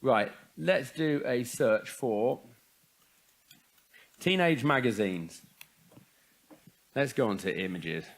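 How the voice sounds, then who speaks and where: Animated, wry man giving a youth talk. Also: mid-range voice. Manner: wry, joking asides with rhetorical questions. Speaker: speaker at a youth conference. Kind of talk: humorous talk to young people.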